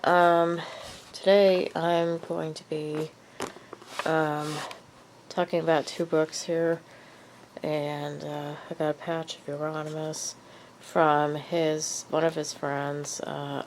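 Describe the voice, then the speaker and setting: A woman's voice: sits low, level tone, slow pace, darkish tone, a little hiss or hum. A woman with a cold, sniffly and hesitant, talking casually in a show-and-tell vlog with lots of ums and uhs. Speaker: vlogger.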